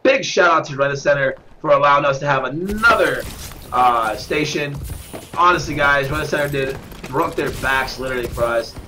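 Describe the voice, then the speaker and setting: A man with a stuffed-up nose, commentating live at a gaming tournament, getting excitable on big kills. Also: fairly high pitch, moderate pace. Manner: excitable, shout-outs to viewers, bursts of hype on kills. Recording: noisy with game gunfire behind.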